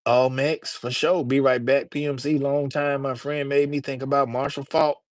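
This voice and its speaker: Man, livestream host, casual and slangy, mid pitch, dry closed-in sound. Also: little pitch movement, normal speed, no echo. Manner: loose, slangy, talks straight to chat. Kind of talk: casual livestream chat with viewers.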